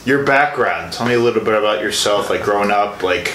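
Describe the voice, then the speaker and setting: A young man in his twenties with a fairly low but bright, forward voice hosts a casual interview. His phrasing wanders tentatively, and the room rings with noticeable echo. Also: brisk. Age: 20s. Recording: echoey room with some background noise.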